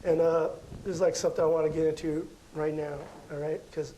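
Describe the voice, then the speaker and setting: Mellow, high-voiced American man explaining. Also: level tone, fairly quick, slight background noise, low-quality recording. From Las Vegas, United States. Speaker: guest astrology card reader. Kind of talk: casual interview chat.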